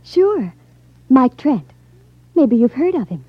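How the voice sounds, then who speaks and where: A woman's voice: high voice, very animated, slow pace, breathy edge, dry recording, full character voice. An American woman playing a spirited, admiring helper to a detective in a 1950s radio drama. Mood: admiring, pert. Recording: muffled 1950s radio broadcast recording.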